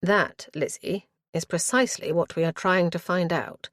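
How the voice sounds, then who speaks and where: Woman doing a quiet, clipped young lord. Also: lowish voice, moderate pace, dry recording, clean studio sound, lightly acted. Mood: restrained. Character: composed young aristocratic man, terse.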